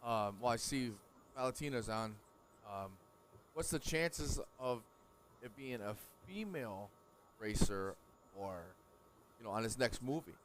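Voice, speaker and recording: A soft-spoken man on a live stream, asking questions hesitantly with ums. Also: mid-range voice, animated, a little slow, long pauses, slight background noise. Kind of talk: casual live-stream chat.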